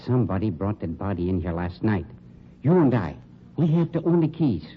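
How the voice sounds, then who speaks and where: Man playing a suave, sardonic crime boss, low-voiced. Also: expressive, lightly acted. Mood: exasperated, scornful. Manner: polished, sarcastic, condescending, deliberate diction. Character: suave, sardonic, polished male funeral home director, shady boss. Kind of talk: old-time radio crime drama acting. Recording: dull, noisy old radio recording.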